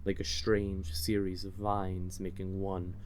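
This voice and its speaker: A man with a low voice reads a fantasy story as narrator. His delivery is unhurried and measured, with a storyteller's sense of drama. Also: completely flat delivery, darkish tone.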